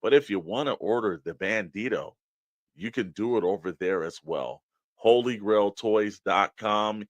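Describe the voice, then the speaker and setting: A man's voice: measured pace, no room sound, muffled recording. Casual male podcast host, dry close sound. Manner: conversational, addresses listeners directly as a pitchman. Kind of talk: casual promotional podcast chat.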